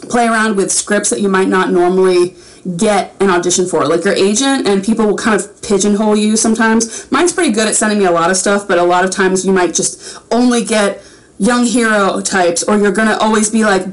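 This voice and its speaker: A woman's voice: mid-range voice, low-quality recording. Chatty woman rambling on a livestream. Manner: rambling, chatty, laughs between phrases. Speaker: voice actor answering fan questions. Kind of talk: casual livestream Q&A. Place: small home room with a framed picture behind.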